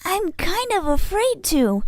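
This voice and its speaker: A woman's voice: very high-pitched, very animated, slow pace, no room sound, clean studio sound, heavily acted. A woman playing a timid, anxious small young female creature in a fan audio drama. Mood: nervous.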